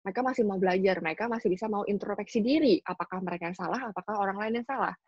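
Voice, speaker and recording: Breathy young woman. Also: mid-range voice, very fast, dry recording. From Indonesia. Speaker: guest. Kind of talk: casual podcast chat. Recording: dull dry recording.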